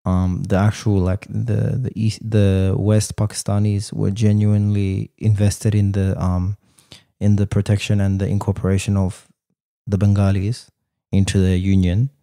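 A man co-hosting a podcast, arguing his points in casual, animated debate and often saying "bro". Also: on the low side, moderate pace, gravelly. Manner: animated, argumentative, says "bro" a lot. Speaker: podcast co-host.

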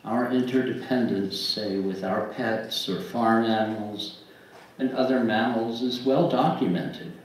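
An older man reading aloud at a church service in a deliberate, measured way, pausing between phrases. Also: on the low side. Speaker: lay reader and book publisher. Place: church sanctuary, at a wooden pulpit. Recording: very echoey room, distant mic, faint noise.

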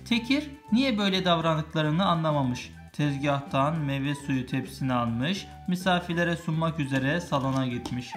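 A woman's voice: deep voice, animated, normal speed, hardly any pauses. A woman reading a storybook aloud to a young child as a parent, breaking off to explain and chat. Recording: music playing behind the voice. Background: music.

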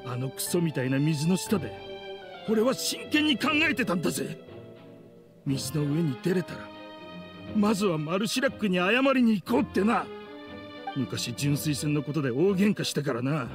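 An actor playing a bitter, vengeful middle-aged man in an anime game, speaking with rough, contemptuous, theatrical accusation. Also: fairly high pitch, big pitch swings, moderate pace, music in the background. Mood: bitter, brooding. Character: bitter, vengeful man in a grudge-driven confrontation.

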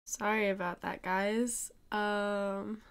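A woman's voice: mid-range voice, little pitch movement, slow pace, clean tone. A young woman streaming live, chatting casually with viewers in a rambling way with many ums, sick and losing her voice at times. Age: young adult.

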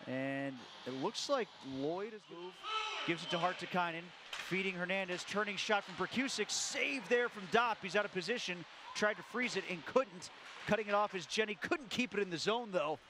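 A man calling a live hockey game play by play, quick calls that jump up on every goal. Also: sits high, noisy background. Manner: rapid calls that rise sharply on goals. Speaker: play-by-play announcer.

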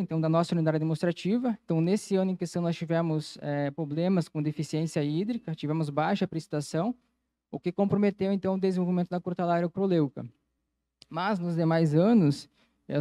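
Male lecturer, slightly high mellow voice, methodical explainer presenting at a conference. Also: no echo, studio quality. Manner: explanatory, methodical, frequent "então" connectives. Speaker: agronomy lecturer. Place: auditorium stage, indoors. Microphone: handheld microphone.

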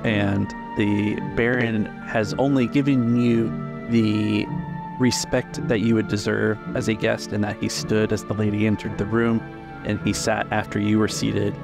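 A man working as game master, improvising narration for a tabletop roleplay with halting, measured phrasing. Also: fairly low pitch, creaky. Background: music.

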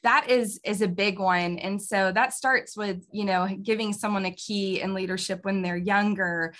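A woman's voice: medium pitch, fairly even intonation, normal speed, a bit bright. Young woman, upbeat, laughs a lot, webcam video call, small office. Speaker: kids ministry pastor. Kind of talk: casual interview answers. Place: small office with bookshelves, indoors.

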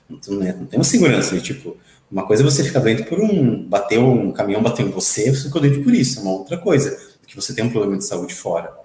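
Chatty man, echoey room. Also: lowish voice. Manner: rambling, lively storytelling with many fillers. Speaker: interview guest, game writer. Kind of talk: casual video-call interview. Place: small room at home, indoors. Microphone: earphone mic on a video call.

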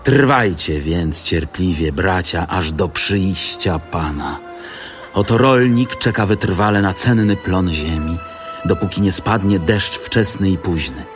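A man's voice: low-pitched, slow pace, soft background music. A man giving a dramatic, exhorting reading of a scripture letter for an audio production.

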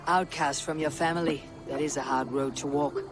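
Guy playing a proud blunt viking leader in a game cutscene, high for a man, measured and bossy, music going. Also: fairly even intonation, lightly acted. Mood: grave. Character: stern, proud Viking warrior chieftain, blunt but honourable. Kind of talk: video game cutscene acting.

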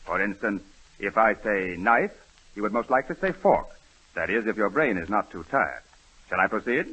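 A man's voice: lowish voice, lively intonation, normal speed, sharp tone, husky, heavily acted. A man playing a formal, condescending doctor in a 1930s radio comedy. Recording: muffled old radio broadcast.

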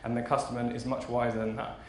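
Fast-talking man of thirty in a roomy hall. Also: mid-range voice, level tone, darkish tone. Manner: conversational, enthusiastic. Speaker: keynote speaker, fashion marketing executive. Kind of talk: informal conference keynote. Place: large conference auditorium stage. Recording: roomy hall echo, faint background noise.

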